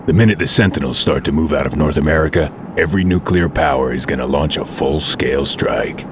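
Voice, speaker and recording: Guy doing a gruff old soldier, crazy deep voice, dry and short with everyone. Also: brisk, background noise. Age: middle-aged. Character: gruff, tough veteran male mutant soldier.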